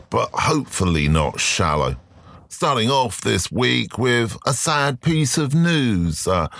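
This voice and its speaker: Man, podcast host, voice deepened by illness, low, animated intonation, reflective, measured advice. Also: dry recording, studio quality. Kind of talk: solo podcast monologue.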